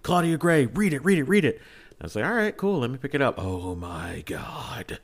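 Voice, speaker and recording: A man's voice: medium pitch, big pitch swings, moderate pace. A man in a casual podcast fan discussion, speaking fervently and emphatically as he urges his points on listeners. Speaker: podcast panelist.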